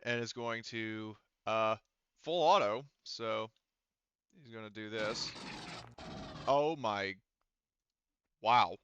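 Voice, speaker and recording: A man's voice: on the low side, slow pace, long pauses, a bit bright, lo-fi sound, a little hiss or hum. A man running a tabletop roleplaying game, narrating combat and dice rolls in a conversational, profane way. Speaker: game master.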